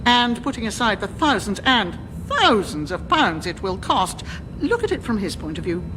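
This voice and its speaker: Woman playing a bossy older British airline boss, lively pitch. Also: normal speed, natural voice. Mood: wheedling. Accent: British English. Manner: imperious, caustic, wheedling. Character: bossy, callous, sharp-tongued older woman airline owner. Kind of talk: British radio sitcom acting. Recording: reverberant radio recording with background noise.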